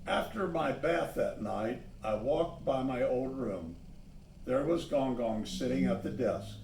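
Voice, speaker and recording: Male picture-book reader, unhurried delivery, medium pitch, addressing young listeners, recorded at a distance in a roomy space. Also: animated, vocal fry, a little mellow, a little hiss or hum. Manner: pauses to point out the pictures. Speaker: storybook reader. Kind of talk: read-aloud children's story narration with asides on the pictures. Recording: echoey room, distant microphone.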